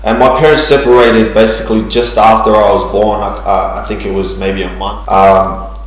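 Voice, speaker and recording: A man tells his story slowly, full of ums and restarts. His voice sits a little low. It rings through a very echoey room. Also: level tone. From New Zealand. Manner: hesitant, many ums and restarts. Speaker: guest sharing testimony. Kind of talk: church testimony interview.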